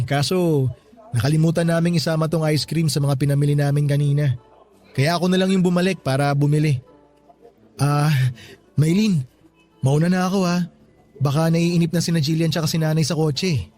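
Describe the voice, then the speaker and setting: An actor plays a polite, easygoing young man in a radio drama. His voice sits a little high, with faint music behind him. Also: fairly even intonation, moderate pace, pauses often, darkish tone, close to an everyday voice. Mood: polite, casual. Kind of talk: Tagalog radio drama dialogue.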